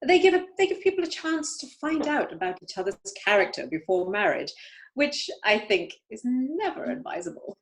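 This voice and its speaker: Casting: a woman as a grand, judgmental older aunt for a play reading; high voice, extremely wide swings, haughty, occasional stumbles. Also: sing-song, rough recording. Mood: lofty, pronouncing. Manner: haughty, emphatic, halting delivery with stumbles. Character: imperious, snobbish older upper-class aunt, grandly judging everyone. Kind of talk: amateur play read-through.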